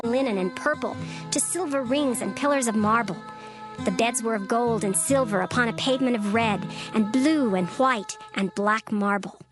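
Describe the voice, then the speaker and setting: A woman reading scripture as narrator in a steady, formal way. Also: a little high. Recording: narration over music bed. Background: music.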